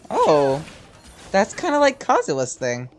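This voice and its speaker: A woman's voice: low voice, wildly swooping pitch, measured pace, slight background noise. A woman giving casual, laid-back gameplay commentary with wry asides. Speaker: gamer playing a story quest.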